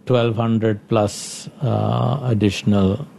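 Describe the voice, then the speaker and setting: A man from India with an Indian accent, an executive giving informal interview answers, halting and repeating himself as he thinks. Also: lowish voice, flat delivery, gravelly, dark tone. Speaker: company executive being interviewed.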